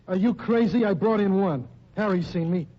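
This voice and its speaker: A man's voice: high voice, normal speed. An actor playing a blunt, defensive gangster in an old radio crime drama, with slangy, ungrammatical tough-guy talk. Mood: indignant. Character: rough, defensive male gangster and nightclub boss. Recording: muffled old radio recording.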